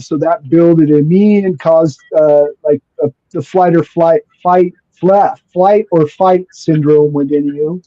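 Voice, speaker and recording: A man's voice: on the high side, no room sound. A man whose first language is Spanish, chatting casually in a laid-back, slangy way, often repeating words as he feels for the thought. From near Mexico, spent most of life in Mexico. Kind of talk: casual spiritual conversation. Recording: dull lo-fi sound.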